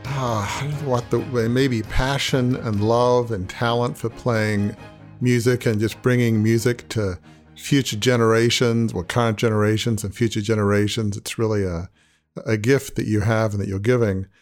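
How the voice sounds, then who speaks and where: Host guy, kinda low voice, rambly questions that keep restarting, bit of music in the back. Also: fairly even intonation, normal speed. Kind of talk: interview questions.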